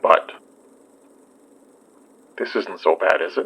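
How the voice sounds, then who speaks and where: A man acting a gentle, steadying partner in a naturalistic audio drama, speaking with patient, tender reassurance. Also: slow, highs cut off, lightly acted. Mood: gentle, loving. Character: gentle, steadying man comforting his beloved.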